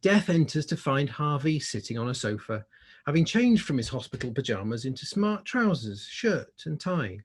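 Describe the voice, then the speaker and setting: A man's voice: mid-range voice, very animated, normal speed, husky. A man reading stage directions as scripted narration.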